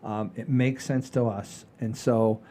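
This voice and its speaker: A man hosting a comedy show in casual chat, halting and repeating phrases for emphasis. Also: lowish voice, fairly even intonation, mellow.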